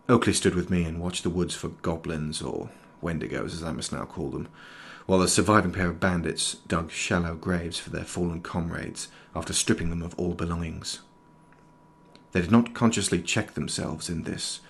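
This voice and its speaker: A man playing an educated, observant doctor who narrates his frontier journal in a formal, measured, literary way for an audio drama. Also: sits low, gravelly, a little hiss or hum.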